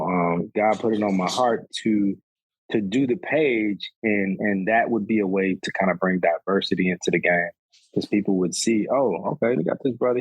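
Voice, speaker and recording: Casual podcast guest, man in his 30s, low husky voice, easygoing rambling delivery. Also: no room sound, rough recording. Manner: relaxed, rambling, says "man" often. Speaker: podcast guest, golf sneaker reviewer. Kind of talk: casual conversation.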